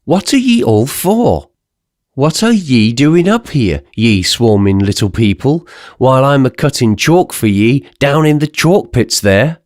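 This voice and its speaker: A man's voice: mid-range voice, big pitch swings, unhurried, darkish tone, no room sound, studio quality. A man voicing a bewildered young male giant, a rustic chalk cutter with an odd, unfamiliar voice, asking plaintive questions.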